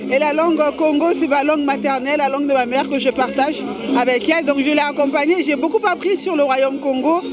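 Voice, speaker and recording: A woman's voice: high-pitched, fairly even intonation, a little fast, a crowd in the background. A woman attending a conference, speaking hesitantly to a camera and correcting herself as she goes. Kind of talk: spontaneous remarks to a camera.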